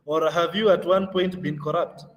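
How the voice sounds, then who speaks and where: A man's voice: fairly high pitch, fairly even intonation, moderate pace, big-room sound, far from the mic. A man moderating an online youth training session, talking conversationally with plenty of fillers. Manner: conversational, many fillers, laughs easily.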